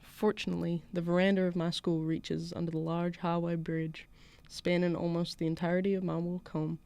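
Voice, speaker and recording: A woman's voice: on the low side, level tone, normal speed, dark tone, clear, resonant tone, no room sound, lightly acted. A woman voicing a defiant small-town schoolgirl in a put-on Southern US accent, narrating a first-person story. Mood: wry, defiant. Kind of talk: first-person literary fiction read aloud.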